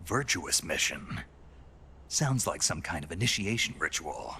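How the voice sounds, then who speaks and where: An actor playing a wry, cocky soldier with a dry, sardonic way of talking in a cinematic video game. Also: male voice, low-pitched, very animated, a little slow, bright, forceful tone, slightly rough, exaggerated voice. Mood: wry, skeptical. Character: wry, cocky male soldier on a covert mission.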